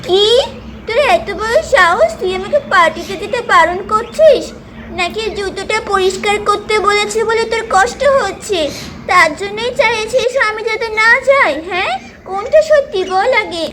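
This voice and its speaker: A vain and bossy doll mother from a children's cartoon , acted out by a woman. Her voice is extremely high and her temper short, with a haughty, scolding way of talking. The home-made recording has clear background noise. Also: normal speed. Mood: bossy, angry.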